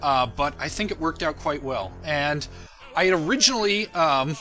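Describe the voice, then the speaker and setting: A man's voice: on the high side, animated, normal speed, sharp tone, soft background music, low-quality recording. A man giving casual, unscripted commentary as the creator of a fan parody series, self-deprecating and irreverent, swearing freely and often chuckling as he talks.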